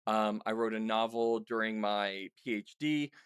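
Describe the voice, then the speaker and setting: A man's voice: lowish voice, a little slow, smooth, no echo. A man hosting a casual interview, talking candidly and self-deprecatingly with rambling asides. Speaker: podcast host and audio drama writer.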